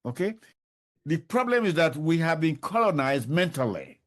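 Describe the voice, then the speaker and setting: A man's voice: a little high, very animated, normal speed, fairly bright tone. An older man answering interview questions, emphatic and repeating his key points. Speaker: professor, interview guest.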